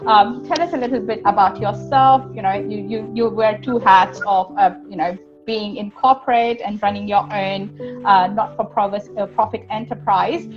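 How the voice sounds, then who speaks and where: A woman's voice: medium pitch, fairly even intonation, moderate pace. A woman hosting a live online interview in a conversational way. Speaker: host. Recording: dull lo-fi audio, faint music.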